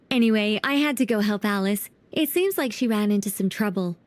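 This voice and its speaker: A woman's voice: mid-range voice, animated, normal speed, lightly acted. A woman voicing a chatty, self-satisfied fairy guide in an anime game, playful and theatrical. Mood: cheerful.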